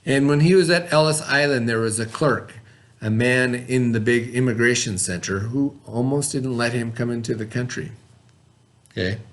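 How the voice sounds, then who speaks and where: A man's voice: medium pitch, moderate pace. A man teaching young children, reading a story aloud and then reviewing it with patient questions.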